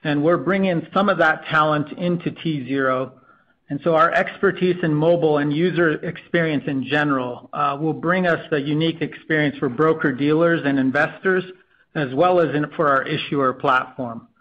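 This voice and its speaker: A man's voice: fairly high pitch, normal speed, dull audio. A man presenting business plans as a technology executive on an earnings call, with frequent uhs between phrases.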